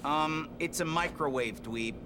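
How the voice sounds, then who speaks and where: An actor playing a hot-headed, sarcastic teenage mutant turtle in a cartoon game, brusque and full of streetwise slang. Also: male voice, on the high side, unhurried, noisy background, natural voice.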